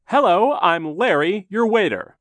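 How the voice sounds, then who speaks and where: A man voicing a relentlessly upbeat waiter in a clown costume, pitching the menu. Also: lively intonation, measured pace, a bit bright, no room sound. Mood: chirpy. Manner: chirpy, salesy, relentlessly upbeat. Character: overly cheerful male restaurant waiter in clown costume.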